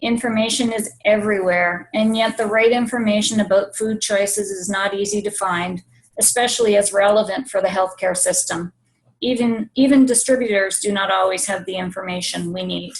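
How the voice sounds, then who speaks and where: A woman co-presenting at an online meeting, reading her team's presentation in a measured way. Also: mid-range voice, fairly even intonation, poor audio.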